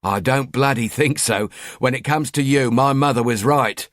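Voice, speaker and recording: A man's voice: medium pitch, moderate pace, no echo, studio quality, lightly acted. A man voicing a nagging middle-aged wife with an East London accent, sarcastic and scolding.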